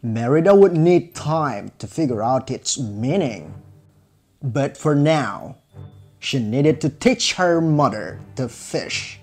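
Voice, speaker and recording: Casting: male fairy-tale narrator, slightly raspy, lively intonation, measured storybook delivery. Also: medium pitch. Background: music.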